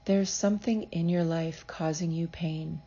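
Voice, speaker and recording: A woman leading a scripted guided meditation as a psychologist, speaking in a soothing, measured, gently instructive manner. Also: lowish voice, fairly even intonation, highs cut off.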